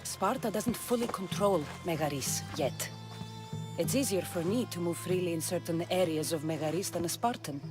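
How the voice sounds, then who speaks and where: An actress playing a confident, dryly challenging young ancient Greek mercenary in a video game. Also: female voice, lowish voice, normal speed, music in the background, lightly acted. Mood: assured. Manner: cool, dry, challenging.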